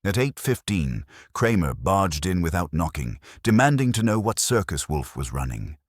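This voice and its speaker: Very deep, husky male story narrator. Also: sing-song, normal speed, dry recording, studio quality. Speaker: audiobook narrator telling the story as a detective's assistant. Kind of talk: first-person scripted detective story narration.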